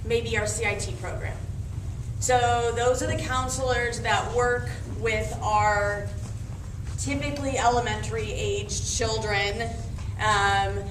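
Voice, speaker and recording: Woman presenter, slightly high, very echoey room. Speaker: school program presenter. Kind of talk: informal informational presentation. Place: echoey room at a live school event. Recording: noisy echoey room, distant mic.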